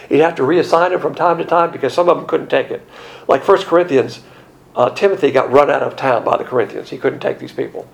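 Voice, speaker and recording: A middle-aged man preaching a sermon, animated and conversational, with colloquial asides and acted-out quotes. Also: on the high side, gravelly, low-quality recording. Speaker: pastor.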